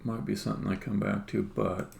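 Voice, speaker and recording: A game developer live-streaming code, thinking aloud casually in halting, muttered fragments. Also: sits low, little pitch movement, vocal fry, a little mellow. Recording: roomy echo, faint background noise. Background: computer keyboard typing and clicking.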